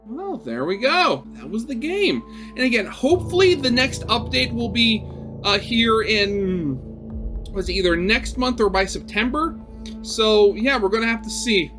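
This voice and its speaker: A man's voice: high voice, big pitch swings, measured pace, over music. A man reading a visual novel aloud as a gaming video host, with casual, rambling commentary.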